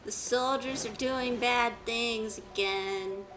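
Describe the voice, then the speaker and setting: Slow-talking woman streaming a game. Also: fairly high pitch, fairly even intonation. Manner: playful muttering to herself. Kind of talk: casual gameplay commentary. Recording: distant mic under game music. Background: video game music.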